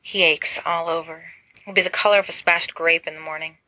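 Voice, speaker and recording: Bright-voiced woman reading a story. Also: on the low side, steady tone, brisk, no echo, poor audio. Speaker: volunteer audiobook reader. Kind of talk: expressive narration of fan fiction.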